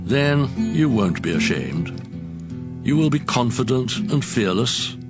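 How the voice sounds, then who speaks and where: Stern preachy elder-brother type, self-righteous, admonishing, unhurried, very varied intonation, audio scripture drama. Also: male voice, medium pitch, very animated, music in the background. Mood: earnest exhorting.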